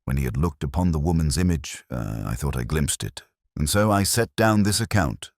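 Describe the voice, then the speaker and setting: A man reading a first-person detective story as narrator, in a formal, measured storytelling manner. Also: very deep voice, animated, gravelly, dry recording, clean studio sound. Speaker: audiobook narrator.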